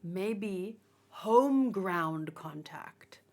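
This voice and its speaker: A woman's voice: medium pitch, expressive, extremely slow. A woman giving informal, opinionated commentary as she hosts a casual live read-along and talks with chat. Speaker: manga read-along host.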